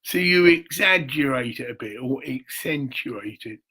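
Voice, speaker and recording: A man's voice: medium pitch, expressive, measured pace, bright, forceful tone, slightly rough. An older man, rambling through a theatrical, emphatic monologue in a self-filmed video. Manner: rambling, mystical, addresses viewers directly. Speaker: charity founder.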